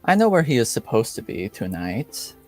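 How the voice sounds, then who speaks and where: A man voicing a self-interested woman fixer, formal, measured and evasive, in a tabletop game. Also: mid-range voice, very animated, darkish tone, soft background music. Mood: measured, cagey. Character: cool, guarded, self-interested woman fixer and debt collector.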